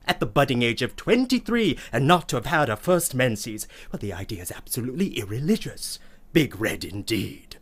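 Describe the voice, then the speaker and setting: An actor playing a prissy, verbose uncle with a pompous, ornate manner in a comic radio play monologue. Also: male voice, mid-range voice. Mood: scandalized. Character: prissy, verbose, scandalized uncle telling family tales.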